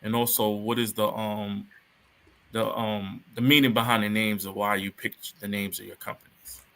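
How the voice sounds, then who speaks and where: Male host, halting questions, bright voice. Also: lowish voice, little pitch movement. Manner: hesitant, searching phrasing with fillers. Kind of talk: interview questions.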